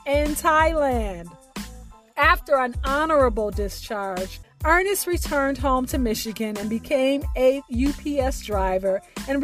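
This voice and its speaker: A woman hosting a storytelling show, reading a scripted biographical introduction of a featured guest. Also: high voice. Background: music.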